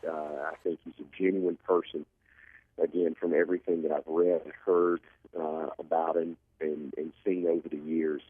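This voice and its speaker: A man's voice: rumbling low, level tone, moderate pace, breathy edge, no echo. A man giving emphatic sports analysis as an interview guest, often repeating a point to drive it home. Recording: narrow phone-like line.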